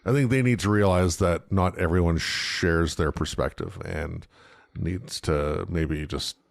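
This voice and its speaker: A man co-hosting a podcast, chatting casually with halting, repeated starts. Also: sits low, gravelly, dry recording, clean studio sound. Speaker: podcast co-host. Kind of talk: casual panel chat.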